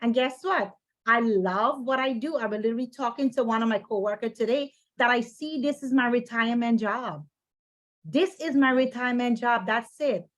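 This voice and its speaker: Emphatic middle-aged Guyanese woman, higher-pitched. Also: normal speed. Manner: emphatic, repeats key phrases. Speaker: financial presenter. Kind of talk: informal sales presentation to an audience.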